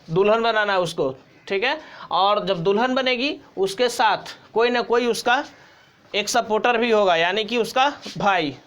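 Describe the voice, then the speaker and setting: A man's voice: sits high, a bit bright, clean tone. A man giving an informal tutorial as a teacher, explaining step by step and repeating key points. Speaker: grammar teacher.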